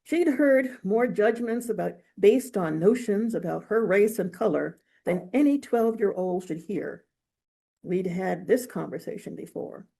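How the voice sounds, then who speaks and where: A woman reading literary fiction aloud in a measured, expressive storytelling manner. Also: medium pitch, vocal fry, breathy edge. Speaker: author reading from own novel. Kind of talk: audiobook-style literary reading.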